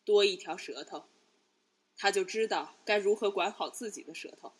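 A woman playing a composed, coldly ruthless imperial consort in a Chinese palace radio drama, quiet and controlled. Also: medium pitch, normal speed, slightly rough, lightly acted.

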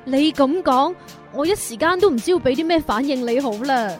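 Casting: actress for virtuous young single woman, radio drama, high register, coy and teasing. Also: a little fast, soft background music, close to an everyday voice. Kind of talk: Cantonese radio drama acting.

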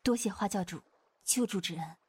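A woman's voice: mid-range voice, normal speed, noisy background, natural voice. A woman playing a shy, earnest, lovestruck young female Taoist in a wuxia audio drama, speaking formally and hesitantly. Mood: shy, dazed. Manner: flustered, hesitant, formal archaic speech. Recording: long reverb, music behind. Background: music.

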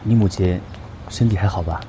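A man performs a young male law lecturer in an audio drama. His voice sits low and he talks with measured courtesy, composed and quietly caring. Prominent music plays underneath. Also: natural voice, low-quality recording. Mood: composed, reflective. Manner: calm, measured, polite. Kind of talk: Mandarin audio drama acting.

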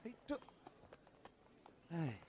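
A man playing an insistent middle-aged police chief in a Chinese audio drama, coaxing as he speaks. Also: very slow. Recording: quiet, distant, noisy street ambience.

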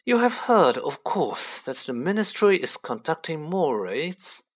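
A man's voice: a little high, big pitch swings, unhurried, voice pushed up. A man voicing a haughty, cold aristocratic wizard father who speaks with curt, condescending disdain in a storybook reading.

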